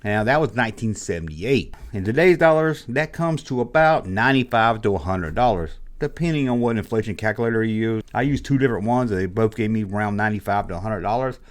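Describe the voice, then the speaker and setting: A man hosting a toy collecting channel, ranting bluntly in a casual, exasperated way, his voice hoarse from being sick. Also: fairly low pitch, lively intonation, a little fast, few pauses. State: hoarse, losing his voice after illness. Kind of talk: casual opinion rant to camera.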